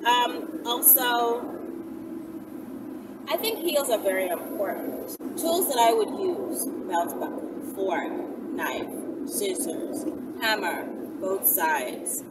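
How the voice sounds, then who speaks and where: A woman in her thirties chats candidly to camera while driving, musing aloud with halting, emphatic phrasing. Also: on the high side, big pitch swings. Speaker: vlogger. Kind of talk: casual candid solo chat to camera. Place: inside a moving car. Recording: distant mic, loud vehicle road noise. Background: loud vehicle noise.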